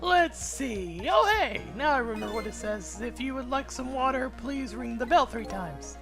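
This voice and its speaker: A man's voice: extremely high pitch, lively intonation, normal speed, gravelly, over music, voice pushed up, exaggerated voice. A man voicing a chatty, stubborn young woman detective from a video game. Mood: indignant. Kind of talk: video game character dub in a let's play.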